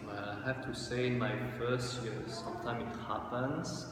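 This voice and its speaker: A man's voice: mid-range voice, steady tone, normal speed, a crowd in the background. A man from Belgium, a science teacher, answering interview questions thoughtfully and hesitantly in English. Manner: hesitant, thoughtful, non-native phrasing. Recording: echoey room, distant mic, noisy.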